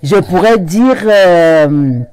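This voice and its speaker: Slow, halting Cameroonian French woman. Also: on the low side, lively intonation, a little mellow, clear, resonant tone, dry recording. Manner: halting, many repetitions and restarts. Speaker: regional councillor, guest. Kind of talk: TV studio interview. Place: TV studio set.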